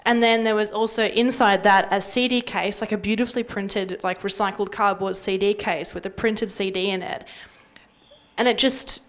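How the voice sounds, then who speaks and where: A woman's voice: medium pitch, fairly even intonation, moderate pace. A young Australian woman talking casually and wryly into a handheld mic on a panel. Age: young adult. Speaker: panellist from community radio. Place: indoor workshop panel before a small audience. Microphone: handheld microphone.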